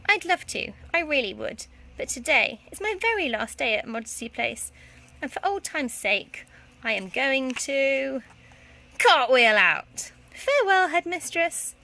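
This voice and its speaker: A woman's voice: high-pitched, very animated, unhurried, creaky, sharp tone, pitched above the performer's own voice, full character voice. A woman voicing a smug, mischievous teenage schoolgirl in a children's storybook, with a breezy, teasing manner. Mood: gleeful.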